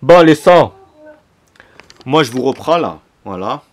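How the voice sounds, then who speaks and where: A man's voice: mid-range voice, slow. A young man from Marseille with a Marseille French accent, ranting casually about football to his own camera in a slangy, repetitive way. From Marseille, France. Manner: animated, repetitive, slangy, fired up. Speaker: football fan YouTuber. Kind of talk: casual vlog rant to camera. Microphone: camera mic, self-filmed.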